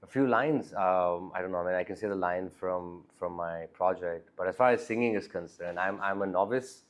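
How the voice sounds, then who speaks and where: A young man, raised in the Emirates, chatting openly as an actor guest in an interview, with a bassy voice and many restarts. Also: moderate pace. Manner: frank, chatty, many restarts and "right?" tags. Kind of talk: casual interview chat.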